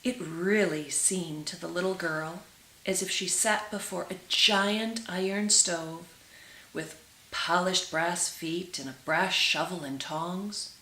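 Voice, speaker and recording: A woman reading a storybook aloud for children as a storyteller, with dramatic, drawn-out emphasis. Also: on the low side, bright, forceful tone. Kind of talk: expressive storybook read-aloud for children, with brief asides. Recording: roomy echo with clear hiss.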